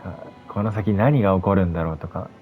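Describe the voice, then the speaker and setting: Fast-talking man with dull audio. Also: low-pitched, soft background music. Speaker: video channel host. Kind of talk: casual commentary to viewers.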